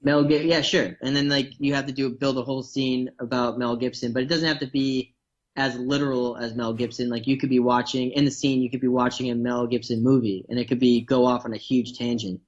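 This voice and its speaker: A man's voice: mid-range voice, level tone, fairly quick. North american guy rambling on a podcast, lots of likes, sounds kinda muffled. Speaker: guest comedian. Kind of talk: casual podcast chat.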